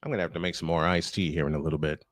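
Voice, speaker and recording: A man's voice: very deep voice, fairly even intonation, very fast. A man calling live baseball play-by-play in punchy, clipped phrases, mixed with casual chat. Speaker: play-by-play announcer and host.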